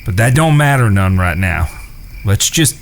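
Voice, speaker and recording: Man playing a lively-pitched, steady rancher. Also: vocal fry, slight background noise. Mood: gentle concern. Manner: folksy, measured, reassuring. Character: steady, protective Old West rancher, level-headed brother. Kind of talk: audio drama acting.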